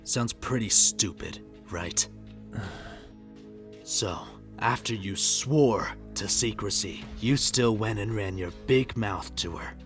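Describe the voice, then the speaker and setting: A man's voice: fairly low pitch, slow, over music. An actor playing a bitter, unhinged widowed middle-aged father, sardonic and menacing, in a dramatic comic dub. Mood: brooding, menacing.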